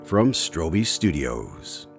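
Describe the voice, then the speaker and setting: A man's voice: extremely low pitch, sing-song, drawn-out words, over music. A man hosting a scripted radio drama in an old-style presenter's manner. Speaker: radio show host.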